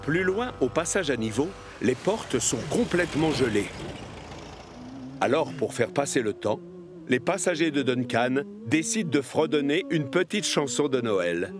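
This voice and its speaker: A man delivers scripted narration for a children's story. His voice sits at a middle pitch, with clear music running underneath. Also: big pitch swings, measured pace. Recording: music behind the voice.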